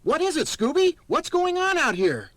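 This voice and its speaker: A man's voice: very high-pitched, very animated, normal speed, fairly bright tone, no room sound, exaggerated voice. A man playing an earnest, take-charge young sleuth in a cartoon audio drama. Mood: urgent.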